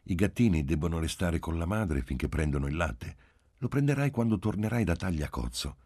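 A man reading a story in the part of a calm father who teases and gently chides his child. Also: low-pitched, brisk, breathy edge, dry recording, studio quality. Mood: patient. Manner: teasing, patient.

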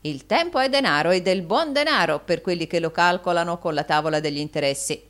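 A woman's voice: fairly low pitch, expressive, a little fast, a bit bright. A woman voicing a cold, impatient businessman in an audiobook, speaking in a dry, cutting manner. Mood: sardonic.

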